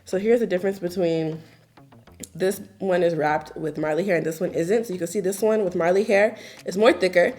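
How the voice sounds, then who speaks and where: A woman in her twenties giving a casual, chatty hair tutorial, her voice a little raspy from just waking up, with lots of filler words. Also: lowish voice, brisk. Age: 20s. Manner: relaxed, chatty, lots of filler likes and ums. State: slightly raspy morning voice.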